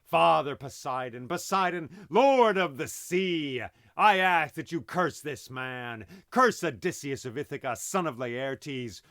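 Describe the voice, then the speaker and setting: A man's voice: mid-range voice, big pitch swings, a little slow, fairly bright tone, no room sound, clean studio sound. A man voicing a huge, vengeful one-eyed giant who has been blinded. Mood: furious pleading.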